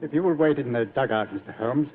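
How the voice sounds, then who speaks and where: A man's voice: medium pitch, fast, natural voice. An actor playing a dutiful male army captain, clipped and deferential, in old-time radio drama style. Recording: muffled, noisy old radio recording.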